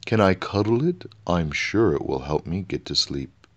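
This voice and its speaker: A man's voice: deep voice, animated, lo-fi sound. A man voicing a weary, plaintive bear king who cannot sleep, in a children's storybook. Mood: pleased, hopeful.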